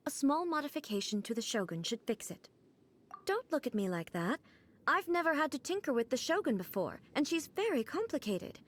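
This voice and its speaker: A composed, regal woman ruler speaks slowly and formally in a slightly raised register. Every sentence is measured and controlled, aloof yet unhurried. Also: fairly high pitch. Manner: calm, measured, formal speech. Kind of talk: video game cutscene dialogue.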